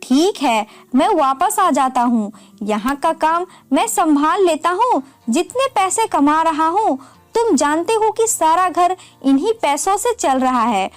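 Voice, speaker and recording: A woman's voice: extremely high pitch, expressive, unhurried, no echo, faint music behind. A woman narrator voicing an irritable, domineering older brother with a scolding manner in an audio story. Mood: angry.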